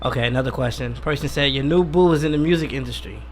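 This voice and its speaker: A man's voice: mid-range voice, fast, a crowd in the background. A man hosting a casual radio interview in informal, slangy speech, putting questions to a guest. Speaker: radio host. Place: radio studio.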